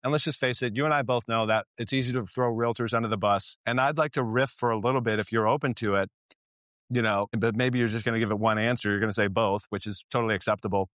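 A man hosts the interview in a relaxed, casual way, feeling his way through questions with fillers. His voice sits at a middle pitch and sounds dry and close. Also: quick pace, no room sound. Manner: casual, loose phrasing with fillers. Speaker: podcast host.

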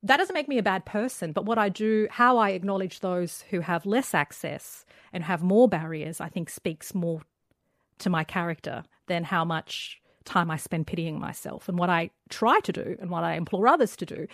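Woman, Australian accent, lowish voice, animated, candid, dry close sound. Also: no echo. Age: young adult. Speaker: author and guest. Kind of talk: conversational interview.

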